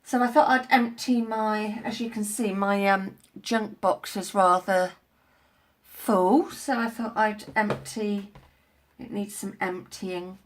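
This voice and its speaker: A woman's voice: mid-range voice, fairly even intonation, takes it slowly. Woman, sniffly head cold; chatty, rambling live-stream crafter talking into a tablet at home. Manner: rambling, chatty, many fillers and restarts. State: congested with a head cold, coughing. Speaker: craft vlogger. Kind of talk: casual live-stream chat while crafting. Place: at home indoors.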